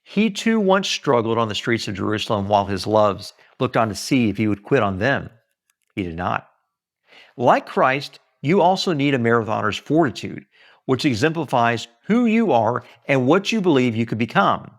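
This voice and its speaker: Expressive man reading with widely varied pitch. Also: moderate pace. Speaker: author reading own book aloud. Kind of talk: expressive audiobook-style reading.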